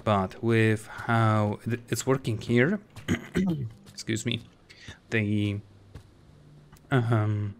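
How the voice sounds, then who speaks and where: Hesitant low-voiced game commentator. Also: male voice, extremely slow, pauses often, a little hiss or hum. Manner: hesitant, many fillers and restarts. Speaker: let's play host. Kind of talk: casual gameplay commentary.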